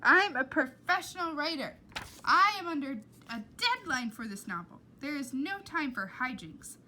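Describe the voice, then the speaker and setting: A woman voicing an irate, bossy mother in a storybook, loud and exasperated. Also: high voice, big pitch swings, normal speed, a little hiss or hum, pitched above the performer's own voice, full character voice. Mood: stern, exasperated. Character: irate, scolding mother, a professional writer.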